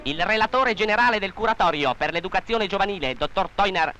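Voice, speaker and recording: High-pitched male 1940s newsreel narrator. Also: lively intonation, fairly quick, pushed and bright. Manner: formal, declamatory. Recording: 1940s newsreel soundtrack, music behind.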